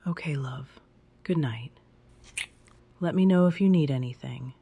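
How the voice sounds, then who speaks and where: A woman playing a gentle, caring wife with dry wit in an audio drama, soft-spoken and affectionate. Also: sits low, measured pace, narrow, tinny audio, slight background noise. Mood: soft, resigned. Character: gentle, wryly humorous wife, caring and observant.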